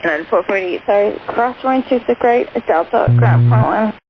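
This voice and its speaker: A woman working as an air traffic controller over aviation radio, giving clipped clearances in a halting, repetitive way. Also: mid-range voice, animated, narrow, tinny audio, over music.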